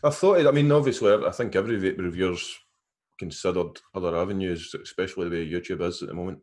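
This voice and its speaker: Scottish man, very low voice, rambling casual chat, video call sound. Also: quick pace, a little mellow. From Scotland. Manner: rambling, restarts often. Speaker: guest vape reviewer.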